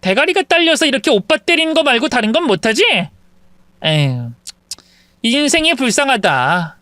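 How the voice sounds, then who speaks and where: A woman's voice: very animated, normal speed, dry recording, studio quality. A woman voicing a smug taunting mistress. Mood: mocking. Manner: taunting, shrill when shouting. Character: scheming young woman mistress, mocking and smug.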